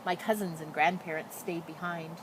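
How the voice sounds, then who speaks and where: A woman's voice: on the low side, steady tone, moderate pace, close to an everyday voice, rough recording. A woman voicing a sad young refugee schoolboy, telling things plainly and earnestly in a storybook. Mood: sombre recounting. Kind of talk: picture-book read-aloud. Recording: noticeable background noise.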